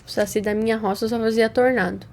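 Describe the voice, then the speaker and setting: A woman's voice: on the high side, fairly even intonation, fast talker, creaky, a little mellow, slight background noise. A Brazilian woman chatting casually and playfully as she reacts to a horror video, joking and exaggerating. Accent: Brazilian Portuguese.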